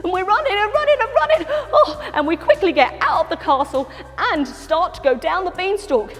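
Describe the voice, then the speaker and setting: A woman voicing an excitable young boy puppet who tells his adventure to children in a dramatic, exclamatory way. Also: very high-pitched, voice pushed up. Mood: frantic. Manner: exclamatory, dramatic, drawn-out storytelling with sound effects. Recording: echoey hall with music.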